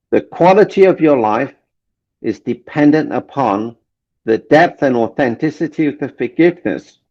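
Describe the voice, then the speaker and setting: Man from Kenya, earnest coach, mid pitch, muffled recording. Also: a little mellow. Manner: earnest, repeats key phrases for emphasis. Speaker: relationship coach, guest. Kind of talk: motivational interview talk. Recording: dull lo-fi sound.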